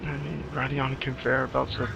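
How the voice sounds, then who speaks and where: A man's voice: mid-range voice, little pitch movement, fairly quick, vocal fry, dull audio, heavy background noise. A man giving casual, chatty playthrough commentary on a video game, joking back at it as he plays. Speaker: gamer, commentator. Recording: game music and sound behind voice. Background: video game music and effects.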